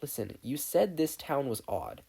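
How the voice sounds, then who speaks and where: Young warrior woman for audio fan fiction, acted by a narrator: mellow, firm, reassuring, fiercely protective. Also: mid-range voice, big pitch swings, measured pace, creaky, husky, exaggerated voice. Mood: steady, caring.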